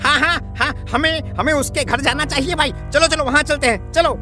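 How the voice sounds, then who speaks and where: A flustered villager, acted by a woman, talks high and bright, stammering in alarmed disbelief. The delivery is repetitive, nervous horror cartoon acting over faint music. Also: moderate pace. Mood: nervous urgency. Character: alarmed, disbelieving villager leading a worried group.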